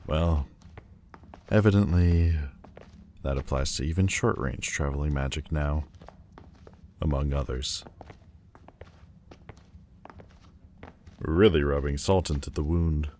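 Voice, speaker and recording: A man playing a proud, wounded demon lord in an audio roleplay, guarded but vulnerable, with halting, stiffly formal, wry delivery. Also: very deep voice, warm tone, slight background noise. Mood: wry, embarrassed. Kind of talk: intimate audio roleplay acting. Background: ambient sound effects.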